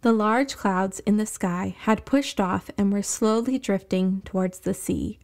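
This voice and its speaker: A woman's voice: medium pitch, measured pace, creaky, a little mellow. A woman reading scripted narration as the host and storyteller of a fiction podcast.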